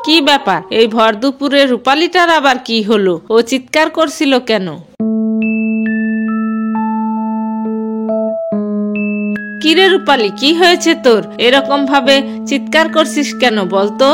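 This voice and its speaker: A high-pitched magician aunt from a cartoon, voiced by a woman, wise and reassuring. She explains and gently scolds over faint music. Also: lively intonation, normal speed, hardly any pauses. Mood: puzzled. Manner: explanatory, fondly chiding. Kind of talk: Bengali cartoon voice acting.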